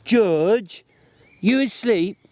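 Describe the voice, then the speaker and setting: A big, slow-witted man with the eager heart of a child, acted out by a man reading aloud. The voice is pitched up high and close, with halting, repeated words, as in a dramatic storybook. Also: lively intonation, darkish tone, no room sound, heavily acted. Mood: childlike.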